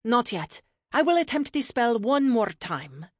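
An imperious ancient goddess as a woman narrator plays her in a fantasy audiobook. She is haughty, formal and commanding, fairly high in pitch and sweeping widely up and down. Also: very animated, unhurried, dry recording, clean studio sound. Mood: determined. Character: imperious, fierce ancient Norse goddess, proud and impatient.

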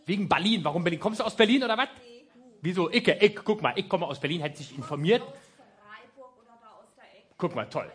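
A male stand-up comedian on stage, speaking with a slightly raised, very lively pitch. His delivery is sarcastic and teasing, with the audience laughing along in a live venue. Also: a little fast, pauses often. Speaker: stand-up comedian hosting a show.

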